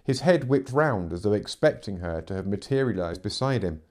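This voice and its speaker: Middle aged guy reading a novel extract, lowish voice, very soft dark tone, dramatic audiobook style. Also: lively intonation, moderate pace. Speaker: narrator reading fiction extracts. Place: living room, seated in armchair.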